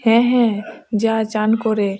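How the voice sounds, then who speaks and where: A woman's voice: fairly high pitch, little pitch movement, normal speed, mellow, slight background noise, lightly acted. A woman voicing a friendly elder-sister neighbour in a village storybook cartoon, with a familiar, homely way of talking.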